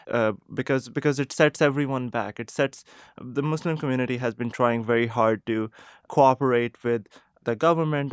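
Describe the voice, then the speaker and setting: A young man with a Pakistani accent, answering radio interview questions in a thoughtful, measured and earnest way. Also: medium pitch, clear, resonant tone, no room sound, studio quality. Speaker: interview guest, medical resident.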